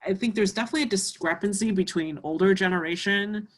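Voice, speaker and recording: A woman's voice: mid-range voice, quick pace. A woman from Canada, a musician guest, talking casually in an interview with many fillers.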